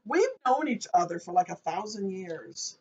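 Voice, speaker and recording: A woman's voice: on the low side, animated, moderate pace, a little mellow, slightly rough. A woman in her fifties co-hosting a casual chat show, rambling and joking about herself. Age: 50s. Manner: rambling, jokey, self-deprecating. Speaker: co-host. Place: seated indoors at home. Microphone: phone camera. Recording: dull lo-fi phone recording.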